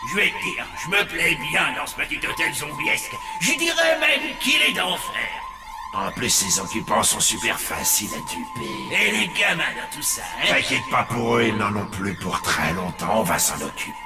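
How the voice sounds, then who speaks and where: A man's voice: mid-range voice, very animated, normal speed, gravelly. An actor voicing a scheming, gleeful ancient male mummy villain in a cartoon, gloating and cackling. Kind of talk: cartoon voice acting. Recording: roomy reverberant sound, distant, loud music.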